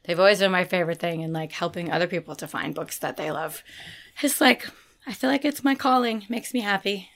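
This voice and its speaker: A woman hosting a book podcast, hoarse from a lost voice, chatting eagerly and laughing often. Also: fairly low pitch, a little fast, fairly bright tone. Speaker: podcast host. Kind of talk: casual chat about new books.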